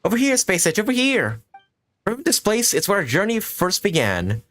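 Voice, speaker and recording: A man voicing a small, chirpy, sentimental female fairy companion from a video game, excitable and talking about herself in the third person. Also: high-pitched, fairly quick, voice pushed up, exaggerated voice. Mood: eager, wistful. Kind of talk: reading video game dialogue in character.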